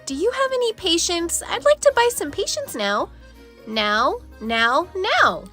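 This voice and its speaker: A woman's voice: very high-pitched, sing-song, unhurried, sharp tone, faint music behind, pitched above the performer's own voice, full character voice. A woman voicing an impatient, demanding little boy frog in a children's storybook, whiny and insistent.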